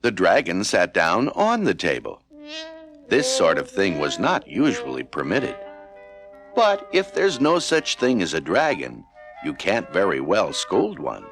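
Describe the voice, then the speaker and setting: Male children's storybook narrator, fairly low voice, highly animated intonation, music clearly behind. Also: measured pace. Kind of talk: scripted children's read-along narration.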